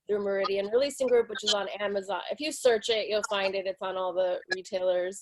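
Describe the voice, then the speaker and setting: A woman's voice: medium pitch, fairly even intonation, brisk, background noise. A woman who teaches acting to children, talking casually and with self-deprecating humor on a video call.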